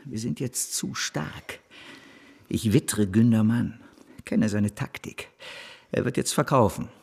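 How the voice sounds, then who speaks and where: Husky, domineering male speculator. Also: on the low side, slow, darkish tone, exaggerated voice. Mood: wary, calculating. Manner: commanding, imperious. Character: domineering, ambitious male stock speculator in old Paris. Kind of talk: historical radio drama acting.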